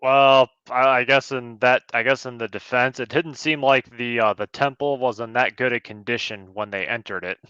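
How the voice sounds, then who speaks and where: Smooth-voiced man, measured, slightly muffled audio. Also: mid-range voice, steady tone, pushed and bright, no room sound. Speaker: podcast co-host reviewing a film. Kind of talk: casual movie review chat.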